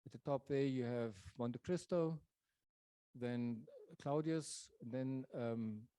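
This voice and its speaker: A man presents a public lecture in a mellow, warm voice, thinking aloud as he goes and often pausing or restarting. The sound is dry and close, with little room around it. His pitch sits in an ordinary middle range. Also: clear, resonant tone, no room sound. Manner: thoughtful, pausing with many fillers and restarts. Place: lecture venue.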